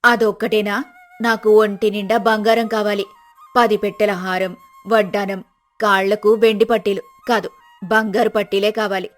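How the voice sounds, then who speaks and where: A woman voicing a scheming, sharp-tongued poor village wife in a storybook drama, talking in a bossy, scolding way. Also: medium pitch, level tone, moderate pace, faint music behind, close to an everyday voice.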